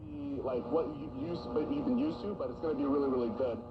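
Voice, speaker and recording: A man's voice: a little high, wildly swooping pitch, fast, creaky. A man doing radio broadcast talk, picked up on a vintage receiver with interference. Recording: very noisy, muffled vintage radio reception. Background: loud interference hum.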